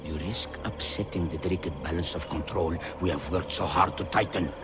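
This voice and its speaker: A man's voice: low voice, fairly quick, over loud music, close to an everyday voice. A man playing a grave, cautious and disapproving power broker in a video game.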